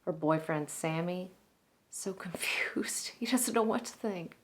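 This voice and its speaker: A woman acting out a Southern salon owner in a stage drama, warm-hearted and gossipy. Her voice sits a little low, and she confides in a folksy way, sometimes breathy. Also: a little slow, natural voice. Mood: amused, confused.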